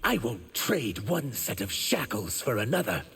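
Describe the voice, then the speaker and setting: Slow, gritty defiant warrior. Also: male voice, medium pitch, big pitch swings, creaky, a bit bright, breathy edge, full character voice. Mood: grim defiance. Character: weary, defiant male former captive warrior. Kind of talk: fighting game character banter.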